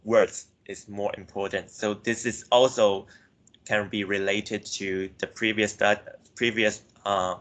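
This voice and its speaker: A young man with a fairly low voice presents research findings to a class. He speaks hesitantly, leaning on filler words and often correcting himself mid-sentence. He grew up in Myanmar. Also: level tone, measured pace, fairly bright tone. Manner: hesitant, frequent "like" and self-corrections. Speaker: student presenter.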